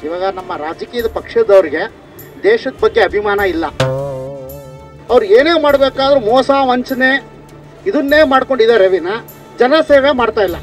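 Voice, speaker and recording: Male street interviewee, very high voice over light music, offering an opinion. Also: lively intonation, normal speed, long pauses. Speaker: member of public interviewed.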